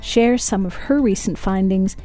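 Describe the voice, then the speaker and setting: A woman's voice: mid-range voice, measured pace, warm tone, soft background music. A woman reads a scripted radio introduction. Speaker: radio announcer. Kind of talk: scripted announcement.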